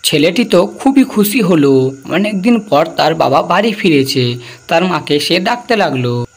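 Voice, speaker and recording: A storyteller narrates a scripted folk tale in a medium-pitched voice. The delivery is that of a cartoon story narrator. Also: animated, a little slow.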